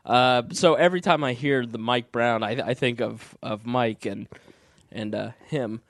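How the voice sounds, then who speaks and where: A man's voice: medium pitch, steady tone, measured pace, no room sound, clean studio sound. A young man with a cold and a slightly phlegmy voice, co-hosting a casual podcast with jokes, reading news aloud now and then. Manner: laid-back, joking, reads news items aloud. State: getting over a cold, phlegmy. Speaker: podcast co-host. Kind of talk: casual chat.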